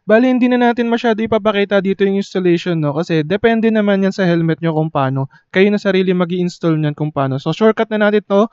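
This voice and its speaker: A man's voice: high-pitched, fast, few pauses, darkish tone, clear, resonant tone, no echo, highs cut off. A man casually explaining a motorcycle intercom setup to viewers, speaking through a helmet headset mic while riding in city traffic. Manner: casual, addresses viewers as bosses. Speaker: motorcycle vlogger reviewing an intercom. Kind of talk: casual how-to talk to viewers.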